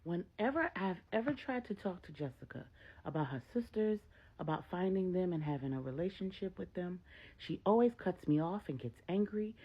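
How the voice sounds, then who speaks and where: A woman's voice: fairly low pitch, lively intonation, moderate pace, breathy edge, highs cut off, voice pushed up. A woman voicing a distraught older mother in a told story, emphatic, pleading and emotional as she confesses. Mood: shocked, confused.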